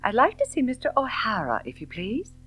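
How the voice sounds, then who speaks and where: A woman voicing a headstrong, impatient young Southern woman in an audiobook novel, brisk and emphatic. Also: a little high, huge pitch swings, a bit bright, slightly rough, voice pushed up, heavily acted. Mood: polite.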